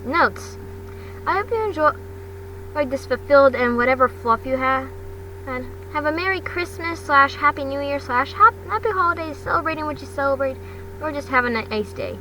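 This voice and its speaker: A woman's voice: high voice, lively intonation, moderate pace, sharp tone. A woman reading fan fiction aloud in an amateur storybook style, often stumbling and repeating words. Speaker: fan fiction podcast reader. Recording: noisy home recording, distant mic.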